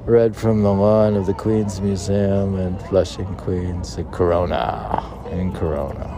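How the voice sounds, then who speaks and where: Gravelly, slow-paced man reading poetry outdoors. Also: low-pitched, fairly even intonation. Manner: halting, spells out words, reads aphorisms line by line. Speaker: poet reading own work. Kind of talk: spoken poetry reading with casual asides. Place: outdoors on a city museum lawn. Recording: outdoor recording with clear urban noise.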